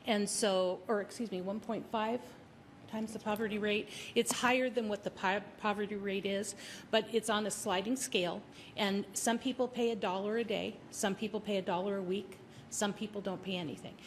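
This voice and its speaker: A woman's voice: mid-range voice, steady tone, normal speed, slight background noise. A woman answering a committee's questions about a program in a plain, matter-of-fact way. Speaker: program staff presenter. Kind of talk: meeting discussion. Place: city council meeting room.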